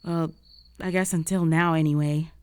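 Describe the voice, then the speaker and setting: A woman's voice: sits low, fairly even intonation, moderate pace, darkish tone, clean tone, dry recording, lightly acted. A young woman playing a jealous, flustered roommate with a secret crush in an intimate romantic audio roleplay, speaking in a hesitant, conversational way. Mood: sad, resigned. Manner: conversational, hesitant, sighing, emotionally exposed.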